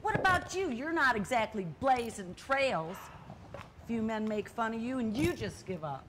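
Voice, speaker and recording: A woman's voice: fairly high pitch, lively intonation, normal speed, background noise, natural voice. An actress playing a wistful, stubborn older woman in a stage play, pleading and arguing earnestly. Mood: defiant. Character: wistful, stubborn older sister yearning for late-life adventure.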